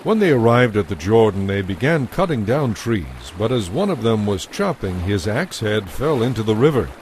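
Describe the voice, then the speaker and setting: A man's voice: on the low side, big pitch swings, normal speed, darkish tone, slight background noise. A man narrating a dramatized audio Bible as a scripted story, recorded close. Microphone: close, dry studio microphone. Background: faint music.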